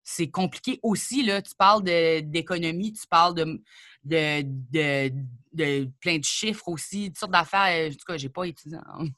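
Bright, chatty Acadian woman. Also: low voice, no room sound, clean studio sound. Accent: Acadian French. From New Brunswick, Canada. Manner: chatty, animated, quick asides. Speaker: comedian and rapper, guest. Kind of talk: casual interview chat.

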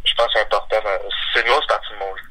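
A young man from Montreal with a Quebec French accent, a hockey player answering interview questions in a halting way with many fillers, heard over a phone line. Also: lowish voice, steady tone, sharp tone. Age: young adult. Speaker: junior hockey player guest.

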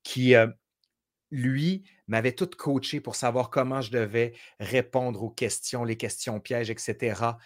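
A man's voice: mid-range voice, a little slow. Man, quebec french accent, casual storyteller, acts out his thoughts. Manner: animated storytelling, acts out quoted inner voices. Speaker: guest. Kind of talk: casual conversation.

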